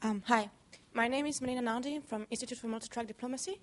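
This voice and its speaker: A woman's voice: on the high side, fast talker, slight background noise. Belgian-accented woman asking from the audience. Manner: careful, searching for words. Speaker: audience member asking a question.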